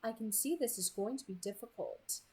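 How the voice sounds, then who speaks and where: A woman voicing a pleasant, coolly amused male kidnapper in an audiobook, polite and controlling, with a light, smooth delivery. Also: mid-range voice, animated, moderate pace, a little mellow, close to an everyday voice, low-quality recording. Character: pleasant, softly menacing man, coolly amused kidnapper on phone.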